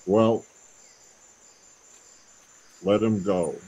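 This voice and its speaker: A man's voice: lowish voice, fairly even intonation, very slow, dark tone, breathy edge, full character voice. A man playing a grieving, world-weary older king, grave and regal, in a Shakespearean radio play. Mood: despondent.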